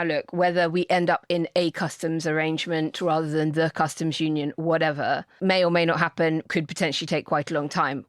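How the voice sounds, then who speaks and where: Low-voiced woman explaining policy. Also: dry recording, clean studio sound. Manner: explanatory, frank. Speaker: panelist and policy commentator. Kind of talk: informal political discussion.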